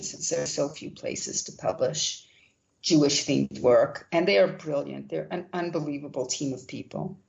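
A woman who founded a literary journal answering interview questions, talking gently with many restarts. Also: low voice, gravelly, highs cut off.

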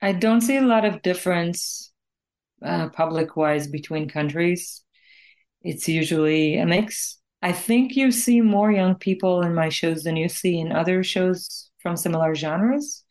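Low-pitched woman from Israel, thoughtful. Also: a little mellow. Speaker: singer-songwriter being interviewed. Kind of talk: reflective interview conversation.

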